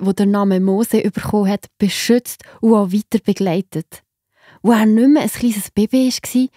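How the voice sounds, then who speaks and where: A woman's voice: a little high, mellow, no echo, studio quality. A woman with a Swiss accent narrating a children's story in a chatty way, speaking directly to her listeners.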